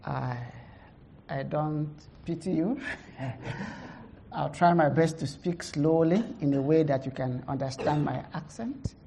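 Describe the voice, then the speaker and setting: A middle-aged woman pastor with a heavy Nigerian accent gives a conference testimony, halting, with many fillers, laughing at herself. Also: deep voice, vocal fry, warm tone, a little hiss or hum.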